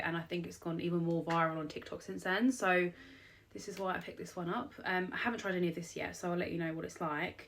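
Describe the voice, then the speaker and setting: A young woman with a British accent talks casually to camera, rambling through her purchases with plenty of fillers. She has a cold, so her voice sounds a little nasal and bunged up. Her voice sits slightly on the low side for a woman. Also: a little hiss or hum. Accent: British English. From England. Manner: chatty, rambling, many "um" and "like". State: blocked nose from a cold. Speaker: vlogger. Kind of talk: casual haul vlog talk to camera.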